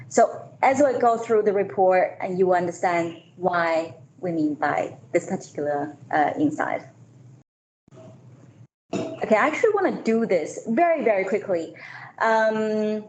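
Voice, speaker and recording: A woman's voice: mid-range voice, darkish tone. A woman from China and Malaysia giving an informal business presentation to a meeting. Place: meeting room, hybrid event. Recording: echoey room, faint noise.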